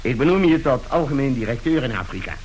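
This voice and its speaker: A man's voice: medium pitch, lively intonation, fast, a little mellow, lightly acted, poor audio. An actor playing a domineering, shrewd industrialist father, curt and commanding, in a radio play. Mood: businesslike, commanding. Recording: hissy old radio recording.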